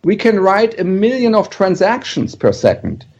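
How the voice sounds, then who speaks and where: German guy explaining tech on a podcast, kinda high voice, muffled lo-fi line, lots of uh and restarts. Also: huge pitch swings, moderate pace, darkish tone. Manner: earnest, explanatory, with fillers and restarts. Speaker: startup founder guest. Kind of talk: podcast interview, explaining technology. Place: San Mateo, California.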